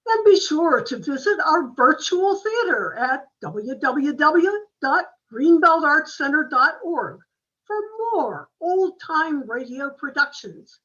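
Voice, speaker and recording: Slightly muffled lady doing radio-style promo read, high voice, slow and careful. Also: animated, a bit bright. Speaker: theater announcer. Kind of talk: scripted promotional announcement in old-time radio manner. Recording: slightly dull, lo-fi sound.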